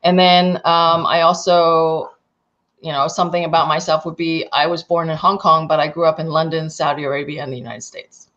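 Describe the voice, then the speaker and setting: A woman's voice: low voice, level tone, fairly quick, a bit bright. Recruiter woman on muffled call audio. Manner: candid, practical, thinks aloud. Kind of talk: panel discussion.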